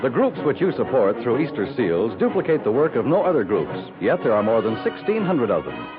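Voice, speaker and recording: A man's voice: mid-range voice, huge pitch swings, brisk, phone-quality sound. A man announcing in a scripted 1950s radio style. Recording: band-limited 1950s radio broadcast with music.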